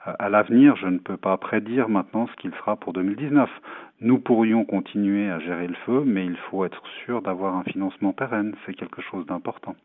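Male interviewee, low voice, measured and halting official answers, thin phone-like radio sound. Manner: measured, halting delivery with many hesitations. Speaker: tourism foundation president, interviewee. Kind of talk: interview answers, formal spokesman talk.

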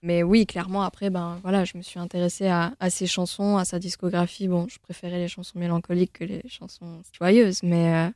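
A nineteen-year-old woman from southern France, a singer talking calmly and easily as a radio guest. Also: fairly low pitch, fairly quick, few pauses, dry recording, studio quality. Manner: calm, easygoing, soft-spoken. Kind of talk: relaxed interview talk. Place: radio studio.

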